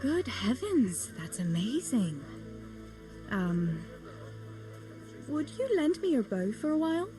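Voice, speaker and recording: A woman's voice: a little high, big pitch swings, slow, pauses often, over music, rough recording. A young woman playing a wry, reluctant miller's daughter in a medieval video game, with a dry manner. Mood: coaxing. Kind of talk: video game cutscene acting.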